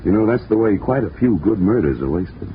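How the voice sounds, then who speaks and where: A man's voice: fairly low pitch, expressive, a little fast, slight background noise, natural voice. A man acting a young wisecracking insurance investigator who narrates a radio drama with dry, wry humor. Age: young adult. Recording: dull old radio broadcast with music.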